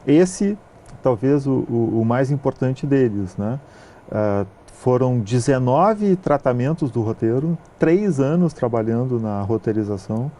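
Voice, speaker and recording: A man from southern Brazil with a gaúcho accent, a film producer answering interview questions in a measured way, with frequent hesitation sounds. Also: medium pitch, animated, mellow. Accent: gaúcho Brazilian Portuguese. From southern Brazil (Rio Grande do Sul).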